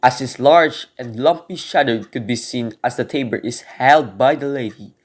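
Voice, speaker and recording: An uneasy burglar, acted by a man, with a medium-pitched voice. He sounds earnest, like a witness telling his tale in an amateur radio play. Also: normal speed, close to an everyday voice. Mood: solemn testimony. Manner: earnest, storytelling. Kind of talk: amateur radio drama acting.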